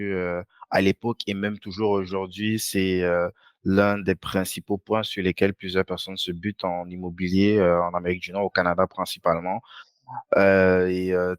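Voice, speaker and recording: A man hosting an interview in a conversational way, hesitant, often pausing in mid-sentence. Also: sits low, no room sound, studio quality. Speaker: podcast host.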